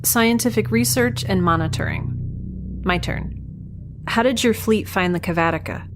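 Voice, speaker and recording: A woman's voice: medium pitch, measured pace, music in the background, close to an everyday voice. A woman playing a captive young scientist in a science fiction audio drama, wry, plain-spoken and sarcastic as she bargains. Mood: guarded, bargaining.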